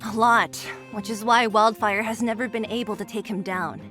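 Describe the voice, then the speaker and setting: A woman's voice: medium pitch, fairly even intonation, moderate pace, fairly bright tone, close to an everyday voice. A woman voicing a tough young underground fighter in a video game, brusque and matter-of-fact. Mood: resigned, blunt. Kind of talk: scripted video game voice acting. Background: game music.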